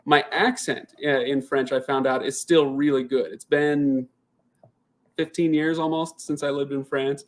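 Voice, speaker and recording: A man in his thirties hosts a relaxed live Q&A at a middling pitch. He chats and thinks out loud as he answers viewer comments, and he often stops and starts his sentences over. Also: unhurried. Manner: rambling, restarts sentences often. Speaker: channel host. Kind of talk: casual live Q&A.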